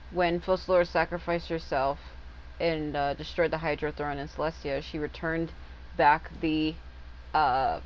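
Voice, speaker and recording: Low-voiced, sweary woman gamer, noisy recording. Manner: sweary, reactive, blunt. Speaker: gamer narrating her playthrough. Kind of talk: casual game commentary. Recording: clearly noisy background.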